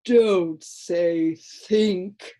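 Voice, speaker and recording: A woman's voice: lowish voice, mellow. A woman voicing a crowd of tiny, drowsy creatures who drag out every word as if half asleep, in an audiobook. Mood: drowsy droning. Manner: slow, drawn-out, yawning delivery. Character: drowsy tiny color-changing creatures, droning and sleepy. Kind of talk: audiobook character voice.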